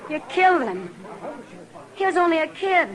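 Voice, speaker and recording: An actress playing a tough but kindly, sharp-tongued saloon woman in a Western radio drama, firm and plain-spoken. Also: extremely high pitch, very animated, normal speed, poor audio. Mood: alarmed.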